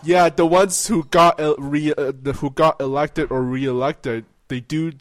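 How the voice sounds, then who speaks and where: Halting man in a dry, close recording. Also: fairly high pitch, fairly even intonation, dry recording. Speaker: interview guest commenting on politics. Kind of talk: casual political discussion.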